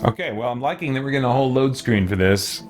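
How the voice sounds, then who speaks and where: Male let's-player, average pitch, casual and dryly grumbling, talking to himself over soft game music. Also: lively intonation, quick pace. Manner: grumbling, wry, muttering to himself. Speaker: video game streamer playing and reacting. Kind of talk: casual let's play commentary.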